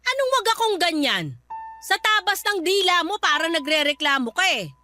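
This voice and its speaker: A woman's voice: very high voice, big pitch swings, moderate pace, bright, forceful tone, soft background music, exaggerated voice. A woman playing a bitter, domineering mother in a Filipino radio drama, scornful and accusing as she nags her daughter. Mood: scornful, reproachful.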